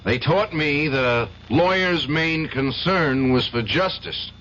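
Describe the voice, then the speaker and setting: A man's voice: a little high, slow, fairly bright tone. An actor playing a stubborn, principled male defense lawyer with a sardonic, defiant manner, in an old-time radio drama. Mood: defiant, indignant. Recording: dull, noisy old radio recording.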